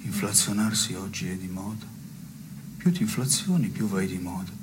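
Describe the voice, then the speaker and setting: A man's voice: low-pitched, moderate pace, natural voice. An actor playing a needy, self-satisfied man in an absurdist radio theatre dialogue, with a wry, mock-earnest delivery. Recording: noisy stage recording with ambience.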